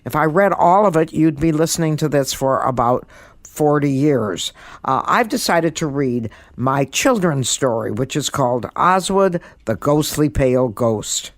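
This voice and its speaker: A woman in her eighties speaks in a deliberate, emphatic manner, as if instructing her listeners. Her voice is captured close and dry, with no room around it. She presents like a seasoned podcast host. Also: low voice, expressive, no echo. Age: 80s. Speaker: guest podcast host, comedian and author. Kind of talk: podcast monologue with writing tips and story reading.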